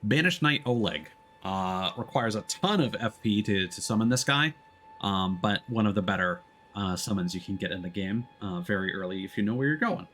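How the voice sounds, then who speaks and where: A man giving casual, explanatory let's-play commentary as a game streamer, talking in a halting way. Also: on the low side, lively intonation, a bit bright, slight background noise. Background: background music.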